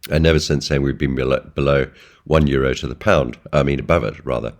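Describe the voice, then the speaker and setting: Very deep-voiced hoarse man. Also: quick pace. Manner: relaxed, with many ums and uhs. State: hoarse from flu. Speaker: forex trading analyst. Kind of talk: screen-share market update.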